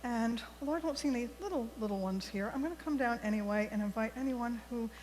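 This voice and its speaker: A woman reading a story aloud to a congregation in a deliberate, expressive storybook manner. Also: fairly high pitch. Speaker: worship leader telling a story for all ages. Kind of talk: read-aloud storytelling in a church service. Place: large indoor congregation space. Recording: echoey hall with mains hum.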